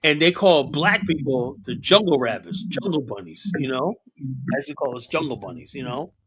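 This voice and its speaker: A middle-aged man from Liberia holds forth on his livestream, rambling from point to point. He leans on fillers and keeps checking in with "you know?" and "right?". His delivery is animated. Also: medium pitch, normal speed, slightly rough, rough recording. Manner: rambling, animated, many fillers and rhetorical tags. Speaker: livestream host. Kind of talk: casual livestream discussion.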